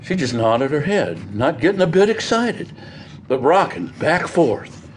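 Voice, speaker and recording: An actor playing an earnest, hesitant farmer giving witness in an audio drama, with an American accent and halting, folksy storytelling. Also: male voice, fairly high pitch, very animated, a little hiss or hum. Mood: earnest recounting. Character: plain-spoken farmer witness, earnest and hesitant, recounting a grim discovery. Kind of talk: audio theatre acting.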